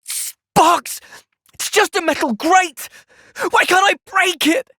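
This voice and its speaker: A man voicing an earnest, thoughtful young soldier in a video game, with an English accent and a measured, sincere delivery. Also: very high-pitched, sharp tone, no room sound, studio quality, heavily acted. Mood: urgent, impassioned. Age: young adult. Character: earnest, thoughtful young male soldier, gentle but resolute. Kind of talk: video game cutscene acting.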